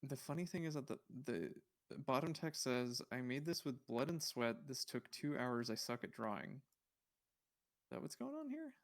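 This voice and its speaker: A man with a mid-range voice hosts a casual livestream. He talks in a rambling way, thinking out loud as he browses. His delivery is conversational and musing, as if puzzling things out. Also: mid-range voice, very animated, normal speed. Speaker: livestream host.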